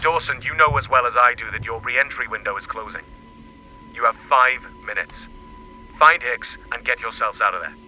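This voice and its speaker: A man's voice: mid-range voice, moderate pace, bright, forceful tone, faint music behind, poor audio. A man playing a stern, official mission control operator in an audio drama, speaking through a radio filter. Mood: urgent. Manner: official, commanding. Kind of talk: clipped radio-protocol acting in audio drama.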